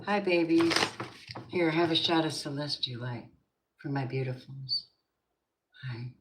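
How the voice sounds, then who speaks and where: An older woman doing a live tarot reading, chatty and rambling, warmly calling her viewers babies. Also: low-pitched, measured pace. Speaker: tarot reader. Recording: noisy home stream with clicks.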